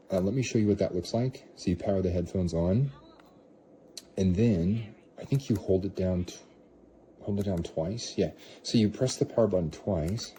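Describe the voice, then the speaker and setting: A man's voice: low voice, dark tone, a little hiss or hum. A man giving a casual, off-the-cuff product review, rambling and second-guessing himself as he goes. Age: adult parent of a young child. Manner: rambling, self-questioning, hedging.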